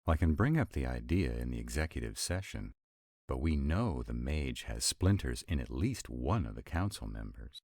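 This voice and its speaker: An extremely low, closely dry-recorded male vampire, acted out by a man for a roleplay audio. He is sarcastic, wry and easily irritated, with a rebellious streak. His delivery is conversational and intimate, swinging animatedly as he makes his drawling asides. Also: big pitch swings, moderate pace, gravelly, a little mellow, no room sound, exaggerated voice. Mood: musing, frustrated. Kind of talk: intimate one-sided roleplay audio acting.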